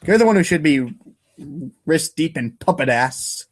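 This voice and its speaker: A man's voice: on the high side, animated, a little slow. A man reading the part of an exasperated, sarcastic, foul-mouthed teenage boy in a comic script reading, ranting wordily.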